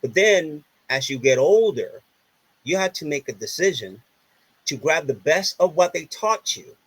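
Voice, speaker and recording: A man's voice: on the high side, animated, measured pace, slightly rough, low-quality recording. A man from Puerto Rico whose first language is Spanish, with a Puerto Rican accent, telling stories earnestly in a casual interview and often repeating himself. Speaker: podcast guest.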